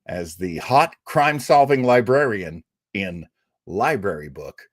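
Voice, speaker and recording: A man's voice: on the low side, sing-song, measured pace, no echo, clean studio sound. A man hosting a live show, chatty and rambling as he reads out viewer comments. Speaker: show host. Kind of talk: casual livestream chat.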